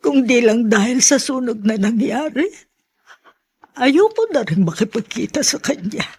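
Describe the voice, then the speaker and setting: A woman playing a weary, aggrieved Filipino grandmother in a radio drama, speaking slowly and sorrowfully in a husky, breathy voice. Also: on the high side, lively intonation, a little mellow. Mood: hurt, bitter.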